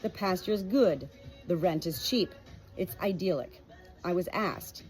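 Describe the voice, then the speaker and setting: A woman's voice: on the low side, a little slow, darkish tone, faint music behind, close to an everyday voice, poor audio. A woman voicing an exasperated, blunt shepherdess defending herself in a storybook. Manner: exasperated, hissing when alarmed.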